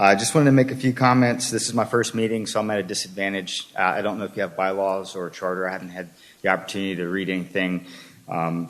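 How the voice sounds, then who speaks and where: An older man, raised in Texas, speaking during public comment at a city meeting and listing his points one by one in a measured way. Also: low voice, fairly bright tone.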